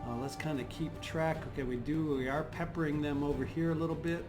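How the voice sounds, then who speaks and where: A man's voice: fairly high pitch, steady tone, fairly quick. A man with a slightly scratchy voice giving unscripted strategy game commentary, thinking aloud as he goes. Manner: thinking aloud, hesitant, throat-clearing. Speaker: host of a gaming channel. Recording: game music behind the voice. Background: video game music.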